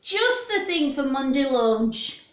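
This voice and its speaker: A woman's voice: sits high, big pitch swings, slow pace, full character voice. A kindly but firm grandfather from a children's story , voiced by a woman. The words come matter-of-fact and emphatic, with room echo around them.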